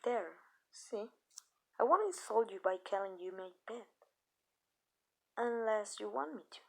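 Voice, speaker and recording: Vampire mistress, played by a woman, for ASMR roleplay: soft, gravelly fry, tender and possessive, slow-weighted pauses, close and confiding. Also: mid-range voice, animated. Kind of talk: ASMR roleplay, intimate scripted monologue.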